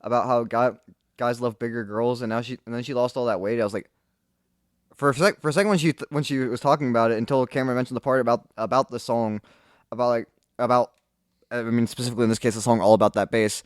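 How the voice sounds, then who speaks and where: A man's voice: medium pitch, fast talker, smooth, no room sound, studio quality. A man co-hosting a casual podcast chat, rambling through stories with many fillers. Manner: rambling, filler-heavy, animated storyteller. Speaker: podcast co-host.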